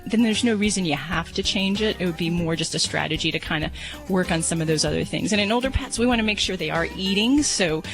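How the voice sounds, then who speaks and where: A woman's voice: fairly low pitch, rough recording. Woman vet with a Chicago accent. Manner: explanatory, frequent ums. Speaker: veterinarian co-host. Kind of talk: radio call-in advice.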